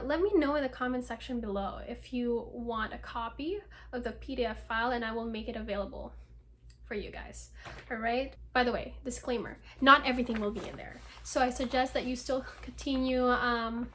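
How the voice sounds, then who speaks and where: Woman vlogger, chatty, lots of ums and likes, kinda high voice. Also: fairly bright tone, a little hiss or hum. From United States. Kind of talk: casual talk to camera.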